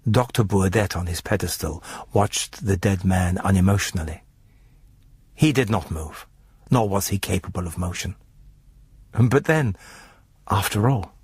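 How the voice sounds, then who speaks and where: A man's voice: low voice, darkish tone, husky, no echo. A man giving dramatic scripted narration for a horror radio story. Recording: music bed under the voice.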